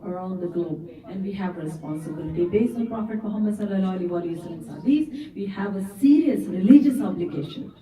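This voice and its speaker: An earnest middle-aged woman whose first language is Urdu addresses a gathering, checking in with "right?" as she goes. Her voice is mellow and dark in tone. It sounds roomy and echoey, picked up from some distance across a hall. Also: fairly high pitch. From Canada resident. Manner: earnest, often adds "right?". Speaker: community activist speaker. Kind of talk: speech to a women's gathering.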